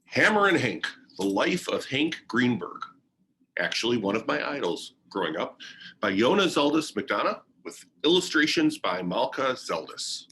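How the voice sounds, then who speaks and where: A man reading a children's biography aloud in deliberate, short phrases with pauses, like a teacher sharing a picture book. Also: medium pitch, very animated, sharp tone, a little hiss or hum, poor audio.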